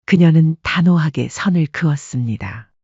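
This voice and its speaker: A woman reads a scripted story calmly and evenly. Her voice is husky and mellow. The recording sounds dull and dry, close to the mic. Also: low voice, moderate pace, no echo. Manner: calm, even storytelling. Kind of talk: scripted audiobook narration.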